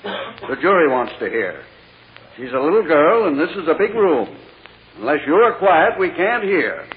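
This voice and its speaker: An actor playing a kindly, patient judge with gentle, reassuring authority in a 1940s radio drama. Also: male voice, on the high side, very animated, unhurried. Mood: firm, kindly. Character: kindly, patient male judge calming a courtroom. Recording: dull, noisy old radio recording.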